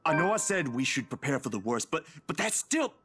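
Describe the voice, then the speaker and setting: A man's voice: on the high side, very animated, brisk, bright, forceful tone, a little hiss or hum. An actor playing a worried young man in a visual novel, pleading and faltering as he clings to hope. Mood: upset, insistent. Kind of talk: visual novel voice acting, dramatic.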